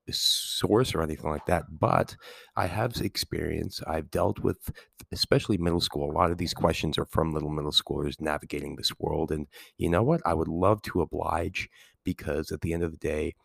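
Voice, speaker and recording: A man hosting his podcast as a teacher in a rambling, casual way, with a calming, soothing voice while getting over a cold. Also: rumbling low, creaky, dry recording. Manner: rambling, many fillers and restarts. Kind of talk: casual solo podcast talk.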